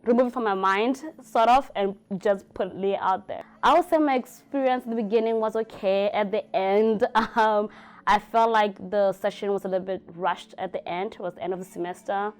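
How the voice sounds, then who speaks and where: A woman, a doctoral student in counseling, telling her experience in an interview in a lively storytelling manner. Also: medium pitch, moderate pace, clear, resonant tone. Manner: animated storytelling, laughs between words. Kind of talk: interview testimony.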